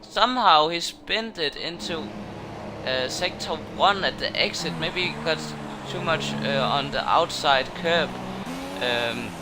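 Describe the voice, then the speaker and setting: A man's voice: on the high side, takes it slowly. A man commentating a racing game league, his voice jumping up when incidents happen. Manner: excitable, rises sharply on incidents. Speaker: race commentator. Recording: noisy game audio behind. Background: racing game engine noise.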